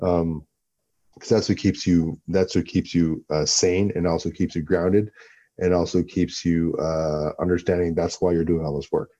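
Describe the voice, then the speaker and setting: Brief: low, mellow-toned man, interview guest, loose and rambling, slightly dull audio. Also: level tone, moderate pace. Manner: rambling, repeats himself while thinking. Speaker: guest, actor and former fighter. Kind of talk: relaxed interview talk.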